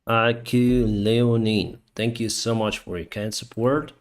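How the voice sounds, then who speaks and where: A man from Ukraine with a Ukrainian accent, hosting a casual live chat and answering viewers in a hesitant, halting way. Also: mid-range voice, little pitch movement. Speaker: live stream host, airline pilot.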